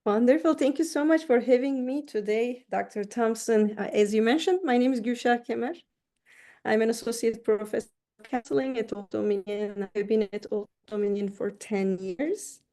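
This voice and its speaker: Middle-aged woman from Turkey, gravelly and warm, thoughtful interview guest who restarts words. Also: a little high, moderate pace. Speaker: counseling professor, guest. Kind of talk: interview answers.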